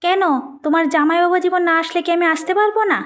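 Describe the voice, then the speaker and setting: A woman acts out a spoiled young cartoon wife with a very high-pitched voice. She is haughty and sulky, snapping and complaining as if every chore were an insult. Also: fast talker. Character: haughty young wife, sulky, work-shy daughter and sister-in-law.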